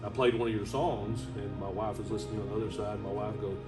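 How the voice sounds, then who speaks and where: A man's voice: on the low side, flat delivery, fast, recorded from a distance, over loud music. A man interviewing a band in a casual, loose way, often stumbling over his words. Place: large rehearsal space.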